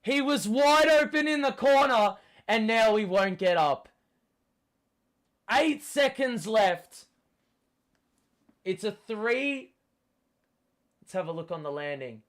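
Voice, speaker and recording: A young man hosts a live basketball watchalong, his voice worn hoarse and cracking. He speaks slowly and a little high, swearing freely and bursting into yells when a play swings. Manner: excitable, swearing, shouts at big plays. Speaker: host.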